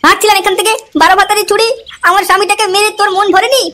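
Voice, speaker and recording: A woman's voice: very high-pitched, flat delivery, very fast, bright, forceful tone. A woman voicing a jealous, bossy, foul-mouthed wife in an amateur cartoon comedy, scolding and threatening.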